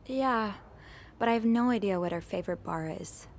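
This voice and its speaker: Actress as an earnest, curious young maid. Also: female voice, mid-range voice, moderate pace, darkish tone, a little hiss or hum, lightly acted. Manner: earnest, thoughtful, polite. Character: earnest, curious young hotel maid sleuthing in 1950s mystery game. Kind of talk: conversational video game acting.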